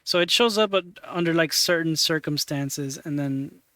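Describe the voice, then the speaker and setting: A man in his twenties hosts a stream in a loose, casual way. His voice is smooth and a little high, recorded dry and close. He trails off and fills gaps with "um" and "like" as he clicks around. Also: pushed and bright, dry recording. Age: 20s. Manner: halting, many fillers while working on screen. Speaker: streamer host. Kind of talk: casual chat.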